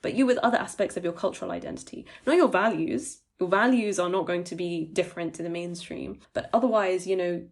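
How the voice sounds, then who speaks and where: A woman co-hosting a podcast discussion, talking in a thoughtful, measured way and often hedging with "you know". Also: on the low side. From United Kingdom. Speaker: podcast co-host.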